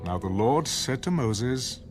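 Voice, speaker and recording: A solemn, formal scripture narrator, with a medium pitch and expressive intonation. It is an audio Bible drama with music underneath. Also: male voice, slow.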